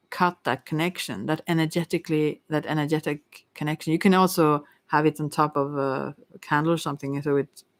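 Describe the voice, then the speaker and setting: Halting, rambling tarot reader. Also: mid-range voice, no room sound. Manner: halting, rambling, stumbling over words. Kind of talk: informal tarot reading addressed to listeners.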